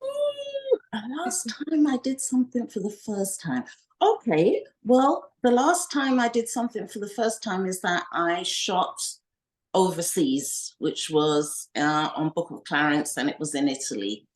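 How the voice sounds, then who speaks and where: A woman from Ghana, a makeup designer guesting on a podcast, talking in a relaxed, friendly way and growing animated when she tells stories. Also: medium pitch, a little slow. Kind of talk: casual interview chat.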